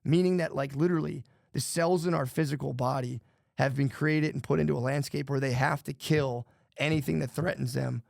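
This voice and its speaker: A man's voice: medium pitch, fairly even intonation, fairly quick, gravelly, no room sound. A man co-hosting a podcast, rambling loosely and swearing, saying "dude" a lot, on a close studio mic. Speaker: podcast co-host. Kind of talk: casual podcast chat.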